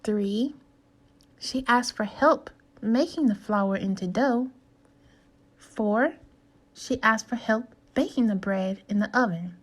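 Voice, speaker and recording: Slow, high-voiced woman reading to children. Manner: deliberate, instructive delivery with questions to listeners. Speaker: teacher reading aloud to children. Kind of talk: slow storybook read-aloud and lesson for kids.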